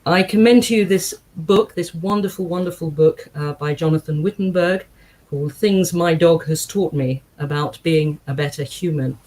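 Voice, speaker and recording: A woman reading aloud to a congregation in an expressive style, with measured, deliberate phrasing and emphatic pauses. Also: deep voice, poor audio. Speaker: guest reader at a synagogue service. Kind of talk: expressive book reading with short spoken introduction.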